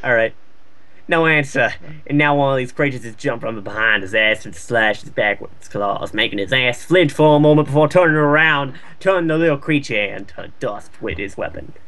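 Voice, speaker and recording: A man's voice: medium pitch, moderate pace, bright, forceful tone. A man reading fan fiction aloud for comedy, slangy and sweary, with casual jokey asides.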